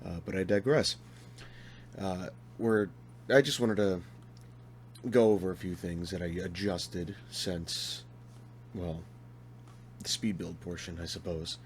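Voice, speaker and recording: Hoarse low-voiced young man rambling. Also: steady tone, slow, long pauses, a little hiss or hum. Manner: rambling, self-correcting, wry asides. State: hoarse, losing his voice. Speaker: video game builder. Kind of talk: casual commentary over gameplay.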